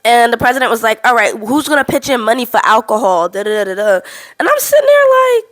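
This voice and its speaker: A woman's voice: on the high side, quick pace. Stuffy-voiced young woman vlogging frankly. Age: 20s. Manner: candid, animated, frank. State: stuffy from a cold. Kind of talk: casual storytelling to camera.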